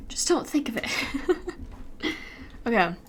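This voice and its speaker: High-voiced giggly American young woman. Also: very animated, slow, fairly bright tone, a little hiss or hum. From United States. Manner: giggly, chatty, rambling. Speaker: YouTuber animating while streaming. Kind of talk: casual chat while working.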